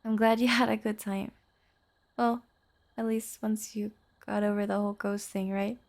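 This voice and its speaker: A woman acting an affectionate, playful young girlfriend in an intimate audio roleplay, speaking softly and chattily. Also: mid-range voice, completely flat delivery, normal speed, lightly acted. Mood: relieved, warm. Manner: soft, tender, chatty with teasing asides.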